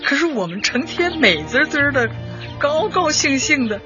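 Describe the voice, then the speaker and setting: Cheerful older community secretary, radio drama, very animated pitch, emphatic, instructive, dull recording over music. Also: female voice, moderate pace. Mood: cheerful, reminiscing. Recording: dull audio with music behind. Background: background music.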